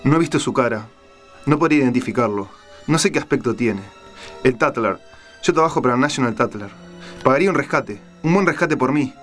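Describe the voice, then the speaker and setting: A man performs a captive journalist in a dramatized story. The voice is medium in pitch, fearful and careful, pleading to stay on good terms. Music runs behind it. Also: animated, brisk, a bit bright, lightly acted. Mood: frightened. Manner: hesitant, placating, careful. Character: frightened captive male journalist, placating and pleading. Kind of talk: dramatized audiobook reading.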